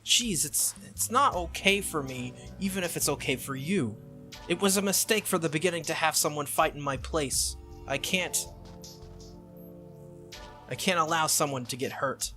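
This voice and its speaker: Man as a stubborn earnest young guy, bright voice. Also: on the high side, faint music behind. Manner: hesitant, halting, earnest. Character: stubborn, earnest young male student, protective and flustered.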